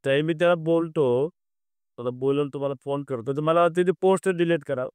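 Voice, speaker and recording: A man's voice: on the high side, fairly quick, darkish tone, clear, resonant tone, dry recording. A man, mediating informally on a recorded phone call in a placating, noncommittal way. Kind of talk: informal private phone conversation.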